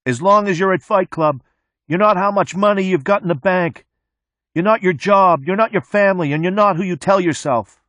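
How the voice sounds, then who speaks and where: A man reading an audiobook, voicing a fanatical male mechanic who yells slogans out of a car window. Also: high-pitched, moderate pace, dry recording, highs cut off, voice pushed up. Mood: fervent. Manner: shouting.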